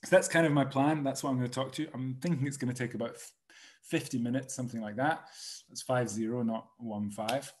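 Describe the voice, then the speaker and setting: A Scottish man in his thirties, a tour director giving a relaxed virtual presentation over a video call from a small room, chatty with many fillers and restarts. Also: medium pitch, a little fast. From Scotland. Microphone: video call webcam.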